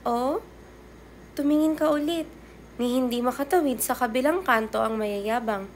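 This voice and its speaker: A woman voicing a loyal old talking bicycle in a children's storybook, coaxing and animated as it reassures. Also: a little high, normal speed, voice pushed up, lightly acted. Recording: clear background noise.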